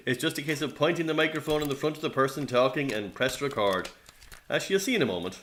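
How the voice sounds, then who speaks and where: An actor playing a polite, businesslike military bureau interviewer in a radio play, speaking formally with an Irish accent. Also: male voice, a little high, fast talker, a bit bright, a little hiss or hum, lightly acted. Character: courteous, businesslike military bureau official taking testimony.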